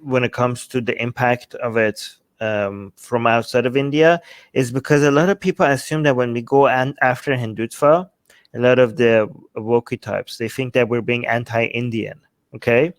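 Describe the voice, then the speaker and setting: A man's voice: mid-range voice, normal speed, dry recording. A man giving casual political commentary as a talk show host, speaking emphatically and often tagging points with rhetorical questions.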